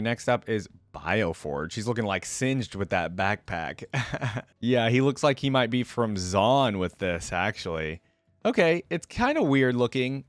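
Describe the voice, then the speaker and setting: A man's voice: on the low side, no echo. A man hosting a reaction video, commenting casually in an informal, slangy way.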